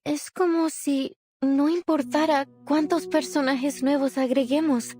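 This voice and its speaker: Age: young adult. Figure: an earnest young female storyteller from a game, searching and pleading for guidance, as a woman voices her. Pitch: high. Backing: faint music. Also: fairly even intonation, normal speed, warm tone, natural voice. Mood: troubled. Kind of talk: game voice acting.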